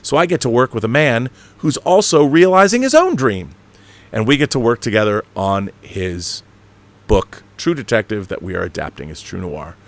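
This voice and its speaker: A man's voice: mid-range voice, big pitch swings, moderate pace. Emphatic, opinionated man hosting a livestream. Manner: opinionated, emphatic fan enthusiasm; rambling, swears casually. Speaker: online show host. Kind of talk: casual livestream monologue and chat reading.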